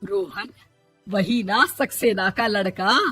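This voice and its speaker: Woman playing a husky, stern old grandmother. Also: medium pitch, fairly even intonation, takes it slowly, a bit bright, soft background music. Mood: sarcastic. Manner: scolding, blunt, sarcastic. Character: stern, disapproving elderly grandmother and mother-in-law.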